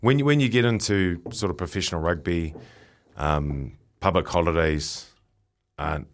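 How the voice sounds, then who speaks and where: A young New man, a professional rugby player, chatting as a radio guest in a halting way and repeating his words. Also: sits low, a little hiss or hum. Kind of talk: casual radio chat.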